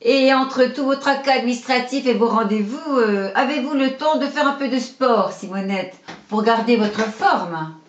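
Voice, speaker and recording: A woman voicing a polite male TV interviewer who asks measured, formal questions. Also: on the high side, close to an everyday voice. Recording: roomy, dull lo-fi sound with faint noise.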